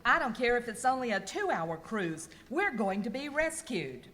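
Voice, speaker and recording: A snippy female castaway, acted by a woman, speaks in a highish, animated voice full of exasperation. It is radio skit comedy, echoing in a hall with faint noise. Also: moderate pace. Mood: eager.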